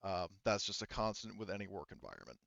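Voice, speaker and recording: A man playing a guarded, evasive corporate department head in a roleplaying game, speaking in a formal, measured manner. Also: low-pitched, sing-song, vocal fry, a bit bright, no room sound, highs cut off, full character voice.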